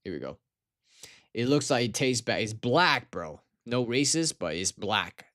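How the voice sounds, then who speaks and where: Tired young man, slow. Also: mid-range voice, very animated, fairly bright tone. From Taiwan. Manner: slangy, swearing, calls viewers bro. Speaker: livestreamer. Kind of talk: casual livestream chat.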